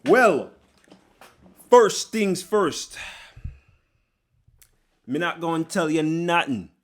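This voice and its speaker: A brash, suspicious Jamaican-accented man, put on by a young man, pitched well above his usual voice and loud. He snaps back defiantly in clipped, broken phrases. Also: expressive, extremely slow.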